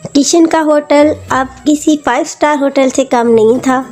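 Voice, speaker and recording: A woman's voice: high-pitched, fairly even intonation, a little slow, a little mellow. A woman narrator reading a scripted moral story. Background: faint music.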